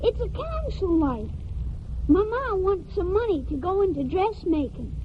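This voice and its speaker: A woman's voice: extremely high pitch, sing-song, a little slow, heavily acted. A woman playing a frank, curious and stubborn little farm boy with folksy speech in a 1940s radio drama. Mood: matter-of-fact. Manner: folksy, childlike, blunt. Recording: tinny, noisy old radio broadcast.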